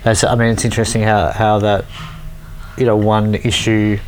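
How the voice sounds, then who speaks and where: A man with a lowish voice speaks casually, rambling through his opinions with frequent stumbles and restarts. A faint hiss runs underneath the recording. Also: level tone, moderate pace. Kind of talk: casual chat.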